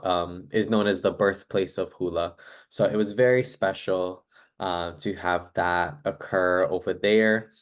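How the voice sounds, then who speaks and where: A young man with a notably deep voice introduces himself, speaking gently and unhurriedly. He fills his thoughts with soft ums and pauses, as if choosing each word with care. His colors his speech throughout. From Hawaii. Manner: soft-spoken, many hesitant ums and pauses. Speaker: hula teacher. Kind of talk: personal self-introduction.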